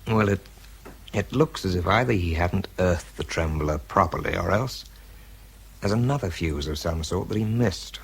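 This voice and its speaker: A bomb disposal man in a radio drama, telling his own story in a low voice. He is understated and matter-of-fact, with nerves underneath. A little noise sits on the recording. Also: lively intonation, normal speed, gravelly. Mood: matter-of-fact, hesitant. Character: weary, nervous bomb disposal man with an artificial foot. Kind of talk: radio drama, first-person narration and dialogue.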